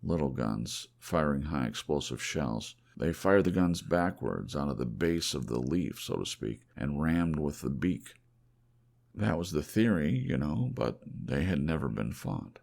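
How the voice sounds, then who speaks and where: A man playing a weary, reflective man recounting his memories in an audiobook, halting and musing. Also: extremely low pitch, lively intonation, vocal fry, a little mellow, exaggerated voice. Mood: wistful musing. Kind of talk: audiobook character voice.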